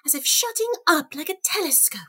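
Bright, high-pitched, curious prim girl played by a woman. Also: animated, fairly quick, heavily acted. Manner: theatrical, prim, exaggerated wonder. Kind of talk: comic audio storybook parody acting.

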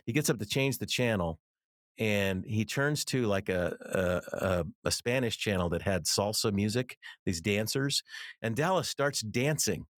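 A man's voice: lowish voice, lively intonation, a little slow, no echo, studio quality. A middle-aged American man speaking as an interview guest, earnest and conversational, telling anecdotes and repeating words for emphasis. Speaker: interview guest, author and ministry teacher.

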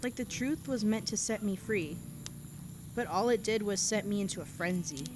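A woman's voice: mid-range voice, moderate pace, music in the background, natural voice. An actress playing a reflective seventeen-year-old girl, talking into a tape recorder in an audio drama. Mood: wistful. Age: teens. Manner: wistful, introspective diary-style monologue. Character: reflective teenage girl on the run. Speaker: narrator and lead character.

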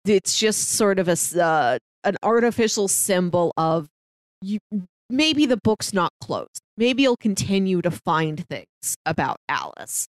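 A woman's voice: mid-range voice, very animated, unhurried, dry recording. A woman co-hosting a podcast, talking through a film in a casual, analytical way. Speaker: podcast co-host.

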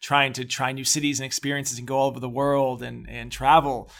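A man's voice: mid-range voice, level tone, brisk, creaky, fairly bright tone. A man hosting a podcast interview, upbeat and chatty, with a few fillers. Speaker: podcast host.

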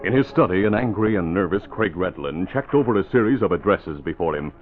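A man narrating a scripted 1940s radio serial in a dramatic, measured storytelling manner. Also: sits low, expressive. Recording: muffled vintage radio, music behind.

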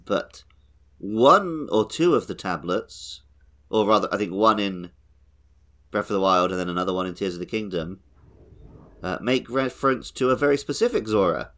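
A man's voice: lowish voice, animated, moderate pace, a bit bright. A man co-hosting a podcast, talking casually through a video game discussion. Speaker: podcast co-host.

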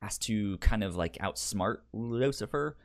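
A man co-hosting a podcast, talking casually and haltingly as he discusses a TV show. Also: fairly low pitch, animated. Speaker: podcast co-host. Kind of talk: casual conversational TV review.

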